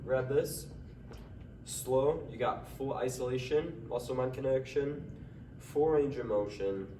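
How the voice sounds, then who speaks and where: A man's voice: mid-range voice, a little slow, mellow, slight background noise. A young man with a stuffy nose giving casual workout tips in a gym, sometimes tripping over his words. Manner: trips over words, strains between sets. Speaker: fitness vlogger. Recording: echoey gym from far off.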